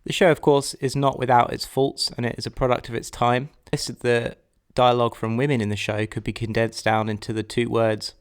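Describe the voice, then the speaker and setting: Clear-voiced man narrating a podcast. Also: medium pitch, level tone, a little fast. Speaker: podcast host. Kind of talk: scripted personal narration.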